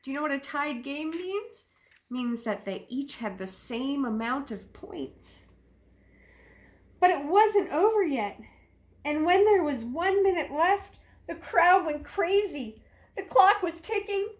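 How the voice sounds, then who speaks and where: A woman's voice: high-pitched, expressive, slow. A woman teacher reading a children's storybook aloud expressively, with emphatic sound words and simple asides to young listeners.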